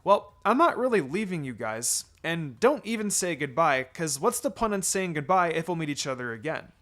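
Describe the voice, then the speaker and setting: Man acting young ninja girl, hot-tempered, impulsive exclamations, swooping pitch, storybook reading, music bed. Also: big pitch swings, normal speed, a bit bright. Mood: eager, affectionate.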